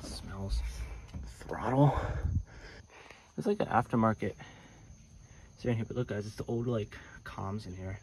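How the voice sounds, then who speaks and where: A man casually vlogging as he explores old airplanes in a rural field, excited, swearing and talking to his viewers on a phone camera. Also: fairly low pitch, measured pace, long pauses, poor audio. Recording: phone audio outdoors with insect noise. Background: insects and crickets outdoors.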